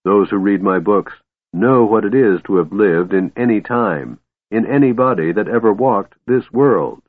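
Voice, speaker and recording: A man voicing an ageless male chronicler in a fantasy audiobook, cold and grave, declaiming in a slow, solemn way with anger held in. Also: lowish voice, sing-song. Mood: proud anger. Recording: muffled phone-like audio with room echo.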